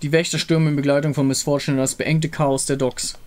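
A man's voice: on the high side, level tone, brisk, darkish tone. A man reading scripted story narration from a game as its narrator. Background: music.

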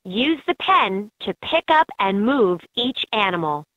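A woman reading scripted educational narration for a children's game in an expressive, instructive way. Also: medium pitch, a little slow, no room sound. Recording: slightly dull, lo-fi game audio.

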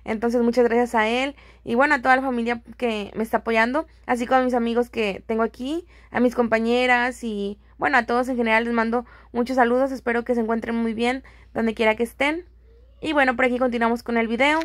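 A woman's voice: fairly high pitch, fairly even intonation, brisk, no echo, clean studio sound. A woman with a Mexican accent giving a casual, chatty, friendly voice-over as a home decor vlogger.

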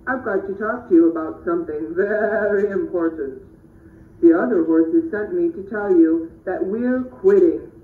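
A man voicing a weary, apologetic talking horse in a children's puppet show, with a deliberate theatrical manner. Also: sits high. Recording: roomy, distant, muffled phone-like audio.

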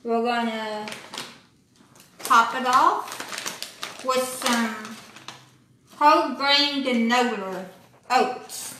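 A middle-aged woman leads a simple cooking demonstration at a table in a small indoor room. Her high, bright voice moves very slowly and halts often between phrases. The phone recording is echoey, with faint noise behind her. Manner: slow, plain, halting delivery with many pauses. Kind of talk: casual cooking demo. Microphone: handheld phone camera.

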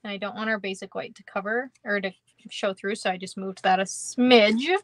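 Young woman, Minnesotan accent, chatty live craft instructor, mid pitch, dry close sound. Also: fairly even intonation, moderate pace, dry recording. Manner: chatty, giggly, lots of ums and kindas. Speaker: card-making instructor. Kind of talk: live stream craft demo, chatting with viewers. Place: indoor craft room.

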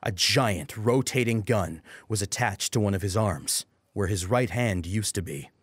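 A man's voice: lowish voice, a little slow, breathy edge, no echo, clean studio sound. A man narrating a scripted dramatic story as an audiobook.

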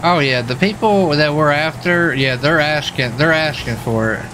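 A man's voice: fairly high pitch, normal speed, sharp tone, slight background noise, rough recording. An American man talking casually in a folksy, slangy way as he streams a police roleplay game.

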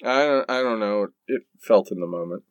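A man's voice: fairly low pitch, normal speed, dry recording, lightly acted. A man playing a goofy, reluctant holiday hero in a comic radio play. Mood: mock reassuring.

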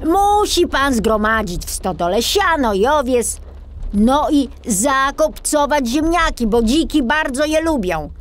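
A woman playing a jovial, teasing old male forester in a children's storybook, with a hearty, drawn-out delivery. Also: high voice, animated, pitched above the performer's own voice. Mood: explaining. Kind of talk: children's storybook character acting.

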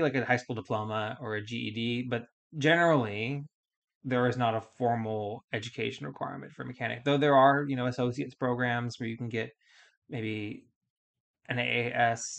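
A man's voice: medium pitch, a bit bright. A man in his thirties hosting a solo podcast, explaining things in a casual, step-by-step way with fillers and restarts, recorded at a studio mic in a small foam-panelled room. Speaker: podcast host.

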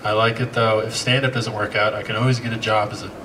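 A man in his thirties with an American accent, doing a stand-up set with a halting, deadpan, self-deprecating delivery full of fillers. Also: lowish voice, very noisy. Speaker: stand-up comedian. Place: outdoor patio stage at night. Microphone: handheld mic. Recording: distant camera mic with loud crowd laughter. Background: audience laughter.

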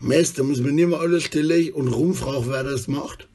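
A middle-aged man with a husky voice, rambling disjointedly in a selfie monologue recorded indoors on a handheld phone. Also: fairly high pitch, normal speed, mellow. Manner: rambling, disjointed, often tags sentences with questions. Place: small room indoors. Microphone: phone held at arm's length.

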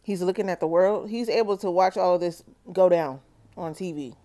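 A woman doing a solo tarot reading for her viewers, talking slowly and checking in with "okay?". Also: lowish voice, dark tone. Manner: slow, measured, repeats "okay?" to viewers. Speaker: tarot reader.

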